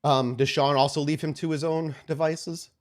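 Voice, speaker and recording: A man improvising narration as game master of a tabletop game, with a halting delivery full of repeated words. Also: a little high, level tone. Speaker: game master of an actual play podcast.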